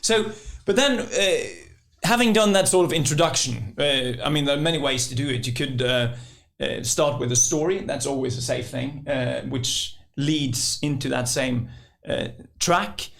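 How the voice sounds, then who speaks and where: Gravelly Norwegian man, hesitant podcast talk. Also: medium pitch, normal speed, few pauses, fairly bright tone. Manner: hesitant, with frequent fillers and "sort of". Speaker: podcast co-host. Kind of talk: conversational podcast discussion.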